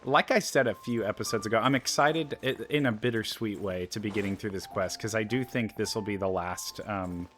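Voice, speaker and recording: A man's voice: lowish voice, a little fast, hardly any pauses, a bit bright, a little hiss or hum. A man giving casual gameplay commentary, chatty and rambling as he thinks aloud. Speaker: let's play streamer.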